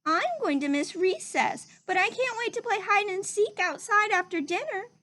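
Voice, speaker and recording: A woman's voice: extremely high pitch, lively intonation, moderate pace, sharp tone, pitched above the performer's own voice, full character voice. A woman voicing earnest, wistful young schoolchildren in a storybook, with a simple singsong delivery. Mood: wistful, eager.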